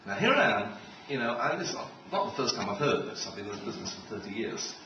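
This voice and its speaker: A middle-aged man speaks in public with a wry, animated delivery, telling anecdotes as a keynote speaker. His voice is heard from a distance in a very reverberant hall, with clear noise on the recording. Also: on the low side, fast, highs cut off. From Israel. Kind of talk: humorous public talk with anecdotes. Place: large room with an audience. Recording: echoey, noisy hall, distant microphone.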